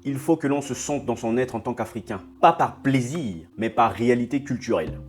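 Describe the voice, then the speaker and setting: Male host, mid-pitched, steady and persuasive commentary with soft music underneath. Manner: measured, explanatory, argumentative. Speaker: YouTube channel host. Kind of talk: scripted commentary to camera.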